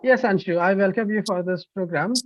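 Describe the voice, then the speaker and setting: A man's voice: high-pitched, a little fast, no room sound, lo-fi sound. A man presenting a course over a video call, talkative, with many fillers and restarts. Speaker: course counselor presenting online. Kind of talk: online sales presentation.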